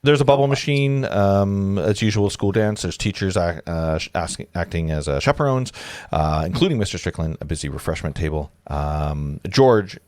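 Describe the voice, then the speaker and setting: A man narrates a script at an informal table read. His voice is on the low side and recorded dry. He reads casually, trips over phrases and adds offhand asides. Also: expressive, moderate pace, a little mellow, no room sound. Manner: casual, stumbling over the text. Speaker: narrator reading stage directions.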